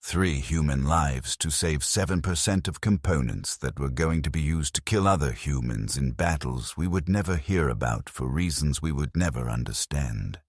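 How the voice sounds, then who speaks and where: Very deep, gravelly male story narrator. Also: expressive, normal speed, few pauses, no room sound, clean studio sound. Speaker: narrator of a science-fiction lore story. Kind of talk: scripted first-person dramatic audiobook narration.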